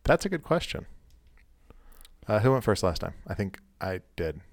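A man with a fairly low voice, recorded dry with no room sound. He talks as a podcast co-host in an informal, conversational way. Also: sing-song, moderate pace, no room sound. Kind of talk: casual chat.

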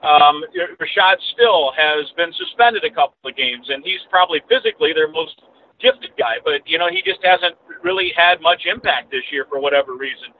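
A man's voice: on the high side, animated, moderate pace, slight background noise. A male sports broadcaster giving conversational analysis as a guest over a remote call, rephrasing as he goes. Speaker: radio play-by-play announcer as interview guest. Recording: thin, narrowband phone-like line.

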